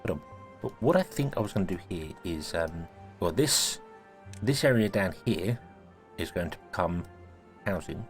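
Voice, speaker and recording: A deep-voiced man talks through a city-building game, rambling and correcting himself as he goes. His voice is a little croaky from a cold, and soft music plays faintly underneath. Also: expressive, moderate pace, pauses often. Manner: thinking aloud, rambling, self-correcting. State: croaky, sneezy voice. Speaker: gaming video host. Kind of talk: casual let's-play commentary.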